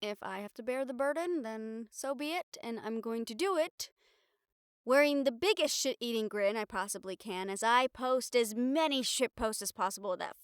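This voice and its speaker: A woman's voice: fairly high pitch, animated, moderate pace, smooth, dry recording, clean studio sound, lightly acted. A woman playing a wry, daring young reporter in an intimate audio roleplay, talking to her partner in a sardonic, sighing, casually sweary way. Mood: defiant. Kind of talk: intimate audio roleplay acting, conversational monologue to the listener.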